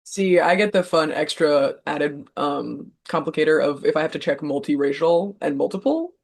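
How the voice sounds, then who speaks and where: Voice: mid-range voice, moderate pace, creaky. A panelist in a casual chat, wry and self-deprecating, laughing often.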